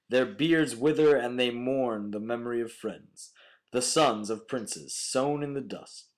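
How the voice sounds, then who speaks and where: A young man with a mid-range voice recites verse. He is a student reader delivering a scripted poem. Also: medium pitch. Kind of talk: poetry recitation.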